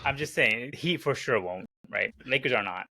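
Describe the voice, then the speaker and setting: A man co-hosting a casual sports podcast, talking in an animated way as he riffs on teams and players. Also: mid-range voice, normal speed, no echo. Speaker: podcast co-host.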